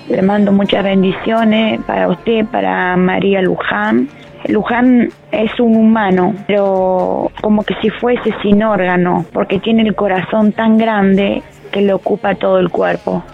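Gravelly woman caller on phone line. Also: mid-range voice. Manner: warm, effusive, sending blessings.

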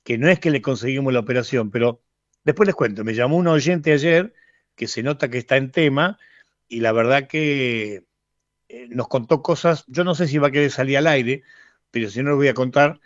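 A man's voice: mid-range voice, normal speed, dry recording, highs cut off. A man with an Argentine accent hosting live radio in a casual, chatty way that often wanders off on asides. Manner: chatty, digressive. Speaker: radio host. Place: radio studio.

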